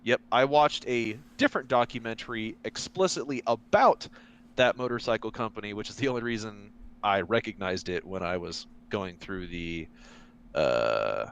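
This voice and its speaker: A man with a smooth, resonant voice co-hosts a casual podcast. He explains things in a loose, hesitant way, with plenty of fillers as he goes. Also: on the low side, moderate pace, a bit bright, muffled recording. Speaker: podcast co-host. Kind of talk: casual chat.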